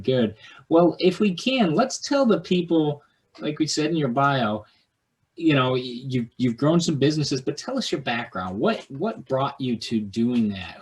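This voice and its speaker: A man hosting a podcast, reading the guest's bio, then asking loose, rambling questions. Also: mid-range voice. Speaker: podcast host. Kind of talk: podcast intro and interviewing.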